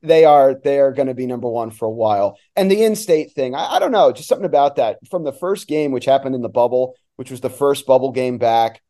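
A man's voice: mid-range voice, brisk, hardly any pauses, dark tone. A man who works as a soccer announcer, chatting casually and enthusiastically in detail as a podcast guest. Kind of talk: casual sports analysis chat.

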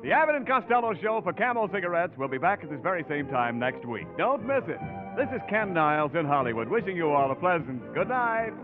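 A 1940s American radio announcer, a man with a highish, very animated delivery. He reads show and sponsor copy over music, with a thin, tinny broadcast sound. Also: brisk.

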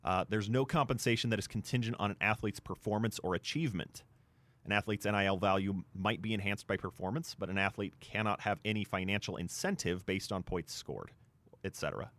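A man's voice: fairly low pitch, a little fast, a bit bright, no echo. A man co-hosting a sports talk show, explaining things point by point in a discussion. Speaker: sports radio show co-host.

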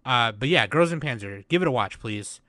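A man's voice: medium pitch, lively intonation, normal speed, pushed and bright, no echo, studio quality. A young man hosting a podcast in a laid-back way, swearing casually and laughing often. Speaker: podcast host.

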